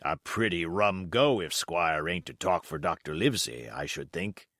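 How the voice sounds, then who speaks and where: A man voicing a gruff, grumbling old gamekeeper from a storybook, with a low growl and blunt rustic speech. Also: animated, moderate pace, a bit bright, no echo, clean studio sound.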